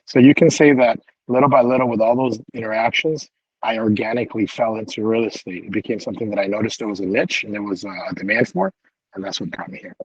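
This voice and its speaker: A man's voice: fairly low pitch, fast, husky, no echo, highs cut off. A man born in Cuba and raised in South Florida, a realtor answering interview questions in an easygoing way, often restarting his phrases. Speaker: realtor guest.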